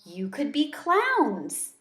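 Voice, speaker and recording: A woman reading the part of a kindly, thoughtful married man in a storybook, in a gentle, musing manner. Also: high voice, huge pitch swings, very slow, echoey room, pitched above the performer's own voice, full character voice. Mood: warm, musing.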